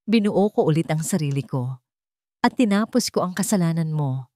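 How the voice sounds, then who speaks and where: A woman's voice: low voice, lively intonation, normal speed, darkish tone, no room sound. A woman voicing a wounded, resolute young man in an audio love drama, solemn and earnest. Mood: grave, resolute. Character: wounded, resolute young man confronting his criminal father.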